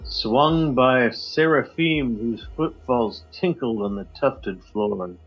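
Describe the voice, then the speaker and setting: A man guesting on a podcast, reading a poem in a measured, low dramatic delivery over a video call. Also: muffled recording, soft background music.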